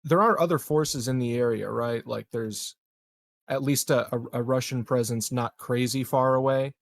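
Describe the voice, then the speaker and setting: A man with a medium-pitched voice talks through plans as a tabletop game player. He is tentative and halting, repeating words, on a dry recording. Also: vocal fry, dry recording. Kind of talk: casual in-game planning chat.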